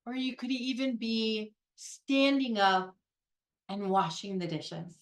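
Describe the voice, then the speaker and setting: A woman leading a guided meditation in a gentle, unhurried way, pausing between phrases. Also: on the high side, steady tone, echoey room. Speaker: guided meditation leader, Reiki practitioner. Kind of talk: guided meditation, soothing instruction.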